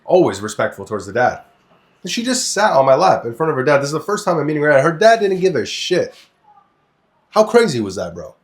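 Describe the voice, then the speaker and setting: A man's voice: on the high side, sing-song, a little fast. A young man from Lebanon co-hosting a podcast, talking bluntly and crudely, swearing as he tells stories and gives opinions. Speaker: podcast co-host. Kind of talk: casual opinionated podcast chat.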